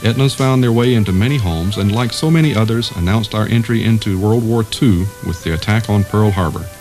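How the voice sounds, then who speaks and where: A man's voice: lowish voice, fairly quick. A man reading scripted documentary narration in the role of narrator. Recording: music behind the voice. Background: instrumental music.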